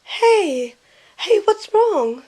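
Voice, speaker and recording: A woman playing a caring, reserved young woman in an amateur audio drama, soft-spoken and reassuring. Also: extremely high pitch, sing-song, drawn-out words, heavily acted. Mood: concerned.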